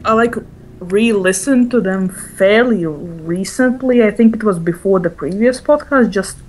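A woman's voice: mid-range voice, measured pace, a little mellow, a little hiss or hum, low-quality recording. A woman from Latvia with a slight Latvian accent, a philology student chatting on a podcast in a wry, self-deprecating way. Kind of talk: casual group chat over voice call.

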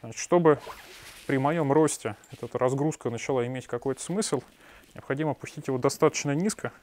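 A man's voice: mid-range voice, fairly quick, a little mellow. A man in his thirties reviewing a backpack in a small shop room on a lapel mic, blunt and sarcastic with crude asides. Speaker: shop owner reviewing gear. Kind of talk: product review.

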